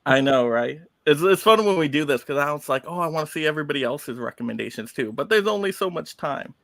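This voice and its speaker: Chatty man hosting, fairly high voice. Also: expressive, fast talker, creaky, fairly bright tone, no echo. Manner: chatty, promotes his channel. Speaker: host. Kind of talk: casual online group chat.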